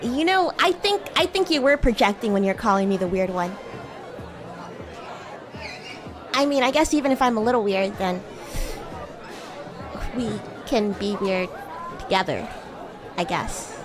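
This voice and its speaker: A woman's voice: mid-range voice, lively intonation, moderate pace, long pauses, over music, a crowd in the background, voice pushed down, natural voice. A woman voicing a bratty young demon schoolgirl in a roleplay stream, teasing and stammering when flustered. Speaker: VTuber streamer playing a character. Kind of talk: improvised roleplay livestream, chatting with viewers.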